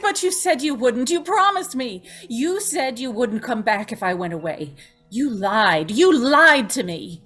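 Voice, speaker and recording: A woman's voice: on the high side, very animated, unhurried, fairly bright tone, soft background music, exaggerated voice. A woman playing a tormented, pleading young woman, tearful and melodramatic in an old-time radio drama. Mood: betrayed. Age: young adult. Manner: tearful, breathlessly emotional, melodramatic.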